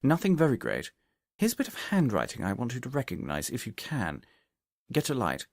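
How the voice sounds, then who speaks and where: Man reading as a precise, animated detective. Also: medium pitch, vocal fry, no room sound, studio quality, voice pushed up. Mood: brisk, courteous. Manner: measured, precise, explanatory. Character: calm, shrewd Victorian male detective, politely methodical.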